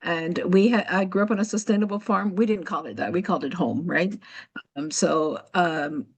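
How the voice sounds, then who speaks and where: Low-voiced woman telling a story. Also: normal speed, husky. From Jamaica. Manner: chatty, storytelling, frequent fillers. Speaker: participant asking a question. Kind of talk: casual online meeting chat.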